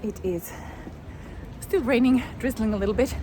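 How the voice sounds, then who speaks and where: A woman's voice: a little high, normal speed, noisy background. Chatty young woman vlogging outdoors. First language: Swedish. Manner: chatty, relaxed, rambling with soft laughs. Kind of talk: casual vlog chat. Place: outdoors in rainy city streets.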